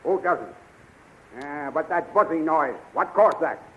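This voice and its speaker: An actor playing a gruff, suspicious, scornful prison guard in a 1930s radio serial, barking and sneering. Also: male voice, on the high side, expressive, measured pace. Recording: muffled, crackly 1930s radio recording.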